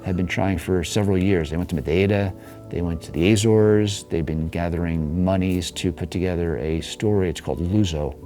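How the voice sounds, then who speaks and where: A man's voice: deep voice, moderate pace, dark tone, faint music behind. A man being interviewed, telling a long, rambling story about his neighborhood's history in a casual way. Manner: rambling, restarts, chuckles.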